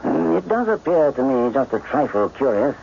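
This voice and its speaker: Shrewd gentleman detective, animated delivery. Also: medium pitch, moderate pace, vocal fry. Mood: wry, musing. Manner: wry, probing, precise. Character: shrewd, inquisitive gentleman detective, recovering from illness. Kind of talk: radio mystery drama acting. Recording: muffled, hissy old radio recording.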